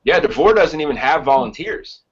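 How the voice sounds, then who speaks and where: A man's voice: a little high, expressive, normal speed, lo-fi sound. A man hosting a casual interview, speaking in a conversational way. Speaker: podcast host.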